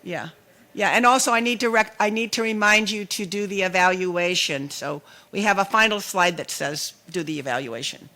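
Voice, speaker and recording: Man, moderator, high pitch, bright tone, brisk. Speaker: session moderator.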